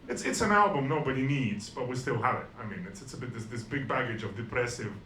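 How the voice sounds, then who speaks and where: A man delivers stand-up in a dry, self-deprecating way, often stumbling and restarting his sentences. His voice sits at an ordinary middle pitch. The room is echoey, with a faint hiss under him. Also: animated, fast, sharp tone. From Estonia. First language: Russian and Estonian. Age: 40s. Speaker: stand-up comedian. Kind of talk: stand-up comedy set.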